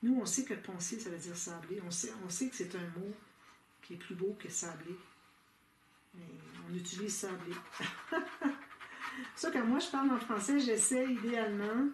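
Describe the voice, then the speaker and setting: A woman's voice: mid-range voice, normal speed, long pauses, far from the mic. A woman with a Québécois French accent hosting a casual scrapbooking tutorial, chatty and thinking aloud with frequent fillers. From Quebec, Canada. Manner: chatty, thinks aloud with many "euh" fillers and small laughs. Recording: roomy, off-mic, with loud background noise.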